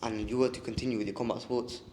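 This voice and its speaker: Guy hosting, fires off questions fast, kinda echoey with long reverb. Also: medium pitch, flat delivery, darkish tone. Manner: quick, chatty questions. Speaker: podcast host. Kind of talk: casual interview. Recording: roomy with long reverb, faint noise.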